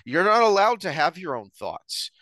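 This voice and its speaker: An American man co-hosting a podcast, discussing ideas earnestly in a deliberate, emphatic way. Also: sits high, sing-song, sharp tone, no echo. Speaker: podcast co-host. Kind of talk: earnest discussion of politics and philosophy.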